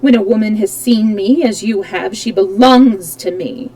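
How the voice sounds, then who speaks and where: A woman's voice: medium pitch, unhurried, dark tone, close to an everyday voice. A woman reading aloud, voicing a tormented, disfigured masked man who roars, taunts and pleads. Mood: taunting. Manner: roaring, theatrical, alternately pleading and menacing.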